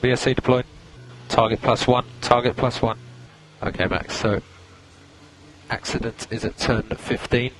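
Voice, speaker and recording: A calm male race engineer giving clipped, procedural instructions over team radio, repeating key points. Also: mid-range voice, measured pace, pauses often. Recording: noisy radio link, engine noise.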